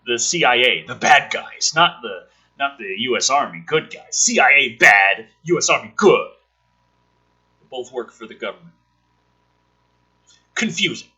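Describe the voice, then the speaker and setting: A man's voice: mid-range voice, a little slow, pauses often, slightly rough. A man reading a film script dramatically as a video host, breaking off for casual, sweary, sardonic commentary. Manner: theatrical, animated delivery.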